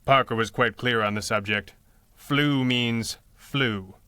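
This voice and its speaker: Dry-witted crimefighter for 1930s-style serial: forceful tone, precise deadpan delivery, close dry studio sound. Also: male voice, medium pitch, takes it slowly, clear, resonant tone, dry recording. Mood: dry, measured. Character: composed, dry-witted male masked hero. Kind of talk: 1930s-style radio drama acting.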